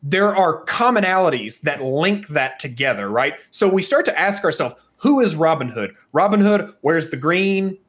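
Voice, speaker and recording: A man with a fairly high voice co-hosts a podcast. He speaks casually and likes to explain, building his points step by step and seeking agreement along the way. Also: sing-song, normal speed, hardly any pauses, a bit bright. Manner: explanatory, punctuates points with "right?". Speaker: podcast co-host. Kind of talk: casual chat.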